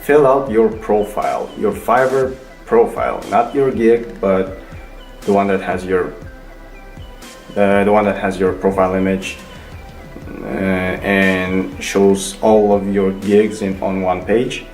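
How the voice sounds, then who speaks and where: A man's voice: low voice, fairly even intonation, slow, darkish tone. A young man, casually explaining things in a tutorial vlog, rambling with many fillers and restarts. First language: Kazakh. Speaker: vlogger. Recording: echoey room with faint music.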